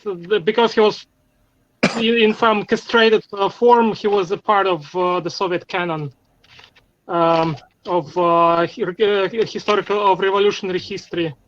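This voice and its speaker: A man with a notably high voice speaks as a panelist over a video call. He halts often, filling gaps with "uh" and "um" between words. The audio is dull and lo-fi. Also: fairly even intonation, a bit bright. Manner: halting, heavy fillers between words. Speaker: conference panelist. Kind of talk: casual academic discussion.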